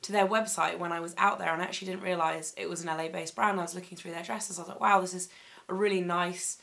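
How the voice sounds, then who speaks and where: A young woman recovering from laryngitis, her voice still slightly croaky, chatting enthusiastically through a clothing haul in her bedroom, with plenty of ums and likes. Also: lowish voice, steady tone, fast talker, a bit bright. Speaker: fashion vlogger. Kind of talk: casual haul vlog. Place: bedroom, indoors.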